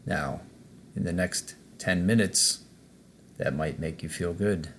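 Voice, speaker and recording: A man narrating a guided meditation as its host, in a measured way, pausing between short phrases. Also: deep voice, a bit bright, slight background noise.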